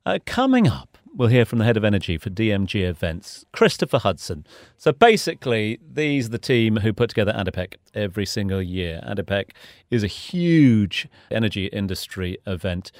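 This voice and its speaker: A man's voice: fairly low pitch, very animated, normal speed, no echo, studio quality. A man hosting a business news podcast, introducing items in a conversational radio style. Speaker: podcast host.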